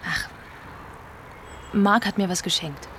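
A woman's voice: mid-range voice, slow pace, noisy background, lightly acted. An actress playing a nervous, conflicted woman confiding in her partner, hesitant and defensive, in a naturalistic radio drama.

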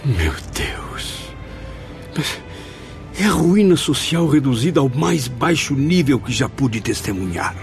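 A man's voice: mid-range voice, very animated, slow pace, gravelly, full character voice. A man acting an anguished, compassionate male spirit in a radio drama, pleading and lamenting with dramatic delivery. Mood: horrified anguish. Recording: music under the voice. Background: background music.

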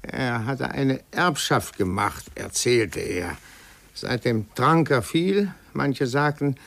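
An actor playing a shrewd, insinuating adviser in a 1950s German radio drama, hesitating and then speaking with dramatic deliberation. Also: male voice, mid-range voice, lively intonation, slow, gravelly, fairly bright tone, dry recording, heavily acted. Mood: dark, insinuating. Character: shrewd, insinuating man advising a young heir.

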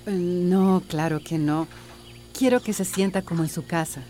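A woman playing a patient young wife and gentle peacemaker with a Costa Rican accent, soft-spoken and hesitant, in a radio drama. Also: fairly low pitch, measured pace, natural voice. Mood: polite.

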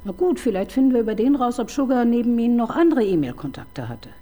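A wry middle-aged detective. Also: female voice, on the high side, very animated, dark tone. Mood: teasing, probing. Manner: ironic, needling, quick to tease. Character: wry, probing married woman police detective. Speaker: detective chief inspector. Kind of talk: radio crime drama acting.

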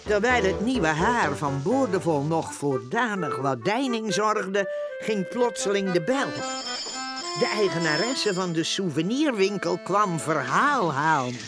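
An animated male storyteller narrates a radio play. His voice sits high and swings widely in pitch. He takes his time over each sentence. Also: a little slow, over music. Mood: storytelling.

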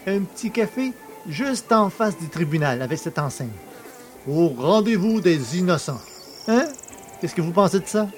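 An actor playing a cheeky, boastful working-class poacher in an audio drama, talking in a familiar, colloquial way. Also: male voice, high voice, animated, normal speed. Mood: boastful, defiant.

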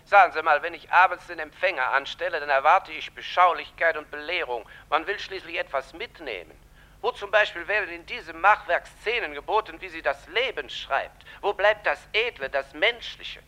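An actor playing a prim, indignant radio listener in a comedy, lecturing self-righteously. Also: male voice, fairly high pitch, animated, moderate pace, pushed and bright, rough recording. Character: indignant, prim male listener phoning to complain. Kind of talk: 1950s radio comedy acting.

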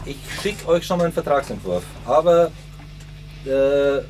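Man playing a breezy, slightly high-voiced music producer. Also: expressive, moderate pace, a little mellow, a little hiss or hum, close to an everyday voice. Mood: upbeat, hurried. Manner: casual, upbeat, English interjections. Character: breezy, slick male music producer courting a band. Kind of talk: naturalistic radio drama dialogue.

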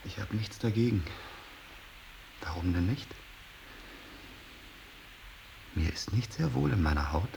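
An actor playing a sullen, evasive young adult son in a radio drama, defensive and drawling. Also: male voice, lowish voice, lively intonation, moderate pace, creaky, darkish tone, poor audio. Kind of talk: 1980s German radio drama acting. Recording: faint tape hiss.

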